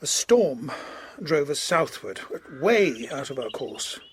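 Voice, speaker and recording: A man playing a courteous, earnest Georgian colonel in a period audio drama, recounting gravely in formal, slightly hesitant speech. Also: fairly high pitch, very animated, a little hiss or hum. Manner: formal, hesitant, measured storytelling.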